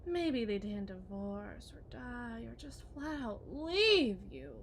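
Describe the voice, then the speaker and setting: Woman acting a high-voiced, unhinged possessive kidnapper wife. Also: sing-song, slow pace, a little hiss or hum, heavily acted. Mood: cold, hushed. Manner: theatrical, taunting, swinging between hushed and shrill. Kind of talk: ASMR roleplay monologue.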